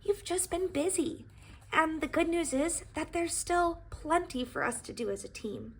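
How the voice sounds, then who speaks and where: Woman voicing an earnest, high-voiced little storybook pie. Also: animated, unhurried. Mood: earnest, pleading. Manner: earnest, dramatic emphasis. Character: humble young pie, anxious, earnest children's storybook narrator. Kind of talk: expressive storybook reading.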